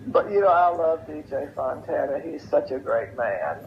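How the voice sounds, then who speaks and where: An older woman from an interview, with a creaky, gravelly voice. She tells stories in a casual, chatty manner. The sound is dull and lo-fi with faint noise. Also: sits low, expressive, moderate pace. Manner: chatty, anecdotal. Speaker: interview guest. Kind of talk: casual storytelling. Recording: muffled lo-fi audio with noise.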